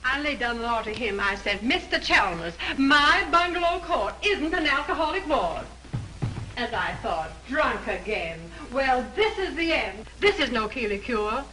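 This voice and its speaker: A woman's voice: a little high, big pitch swings, rough recording. Nagging, fussy landlady. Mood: grumbling. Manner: complaining, rapid chatter. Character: fussy middle-aged landlady, nagging and self-pitying. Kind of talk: 1930s film acting. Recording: hissy 1930s film soundtrack.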